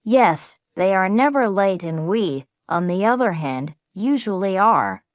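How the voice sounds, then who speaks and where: A woman reading a friendly everyday speaker in a slow, carefully spaced practice dialogue for English learners. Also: medium pitch, animated, no room sound, studio quality, lightly acted. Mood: plain.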